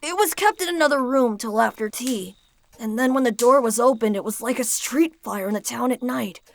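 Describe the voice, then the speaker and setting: A woman's voice: on the high side, moderate pace, gravelly. A woman playing an eager, kind-hearted young rascal of a boy in an audio drama, earnest and wide-eyed. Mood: excited wonder.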